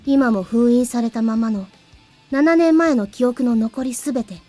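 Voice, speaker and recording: Mysterious, solemn boy acted by a woman, high voice, measured and portentous, visual novel drama over faint music. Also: warm tone, close to an everyday voice. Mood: calm, solemn. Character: mysterious, solemn young boy offering power. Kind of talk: Japanese visual novel voice acting. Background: game music.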